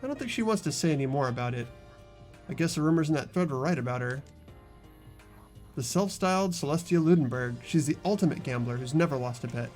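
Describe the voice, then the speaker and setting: Man as higher-voiced earnest schoolboy narrator. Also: expressive, brisk, a little mellow, soft background music, natural voice. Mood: wary, musing. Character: ordinary teenage schoolboy protagonist, earnest and uneasy. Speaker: narrator and lead of a fan-voiced game playthrough. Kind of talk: amateur voiced visual novel narration and dialogue.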